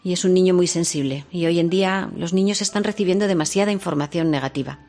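A woman's voice: lowish voice, fast talker, a little mellow, close to an everyday voice. A woman playing a tender, caring, concerned mother in natural radio drama dialogue. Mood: worried. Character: tender, caring mother who worries about her son.

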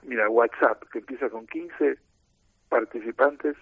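A man's voice: mid-range voice, breathy edge, no room sound. Argentine man talking over a phone line. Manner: explanatory, measured, lecturing tone. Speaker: team coach and author. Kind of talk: radio interview answers.

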